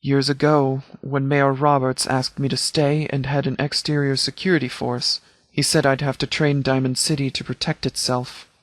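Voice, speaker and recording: An actor playing a stern, principled middle-aged sheriff in a video game, speaking in a formal, grave and deliberate way. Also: male voice, mid-range voice, level tone, dry recording, natural voice. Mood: quietly earnest. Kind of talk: scripted video game character dialogue.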